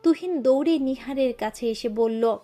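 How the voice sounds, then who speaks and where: A woman narrating a scripted romantic love story with an expressive, emotive reading. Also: high voice, moderate pace.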